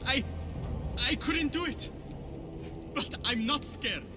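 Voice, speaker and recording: A man's voice: very high voice, takes it slowly, big-room sound. A man acting a frightened underling in a video game, pleading and insisting that he can prove himself. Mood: desperate. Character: desperate young male underling, frightened but eager to prove himself.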